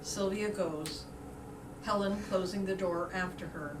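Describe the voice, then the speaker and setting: A woman's voice: mid-range voice, steady tone, measured pace. A woman reading stage directions as a scripted narrator for reader's theater. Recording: roomy, distant mic, clearly noisy.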